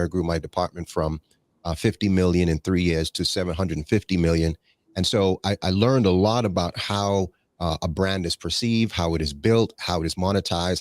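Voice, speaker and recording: A man's voice: low voice, dry recording. A man from the Bahamas, a guest in an interview, talking in a reflective, rambling way with many fillers and restarts and a preacherly habit of citing scripture. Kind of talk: casual conversational interview.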